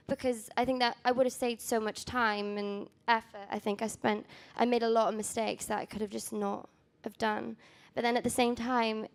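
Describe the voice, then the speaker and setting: Gravelly Welsh-born woman giving a talk. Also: on the high side. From Wales. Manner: casual, rambling with many ums and self-corrections. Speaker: magazine founder giving a talk. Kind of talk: panel talk presentation.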